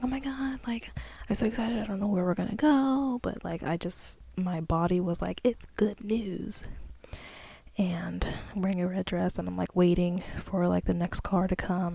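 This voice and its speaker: A young woman whispering close and soft, her voice husky and edged with a little gravel. Her tone is dark and mellow, and she rambles through the story with plenty of fillers. The recording is dry and intimate, with no room around it. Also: fairly low pitch, dry recording.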